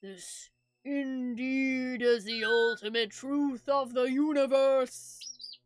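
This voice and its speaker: A woman voicing a solemn, deadpan male mage from a fantasy game, speaking slowly and deliberately with dry wit. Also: high-pitched, pitched below the performer's own voice.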